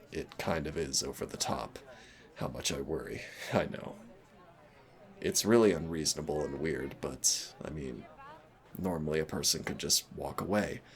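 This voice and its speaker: A man playing a shy, gentle, nervous young man in an intimate audio roleplay, hesitant, rambling and self-deprecating. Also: a bass voice, moderate pace. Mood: nervous, apologetic.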